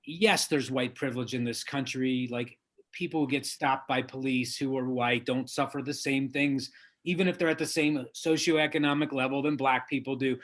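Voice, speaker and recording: A man being interviewed as an author, lawyer and diversity trainer, speaking in an earnest, measured and emphatic way. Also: medium pitch, fairly even intonation, a bit bright. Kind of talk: interview guest, conversational.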